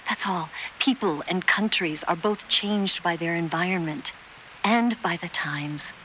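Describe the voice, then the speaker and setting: An actress playing a cool, worldly female spy in a video game, speaking in a measured, enigmatic way. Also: fairly low pitch, bright, forceful tone, a little hiss or hum, poor audio. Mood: reflective.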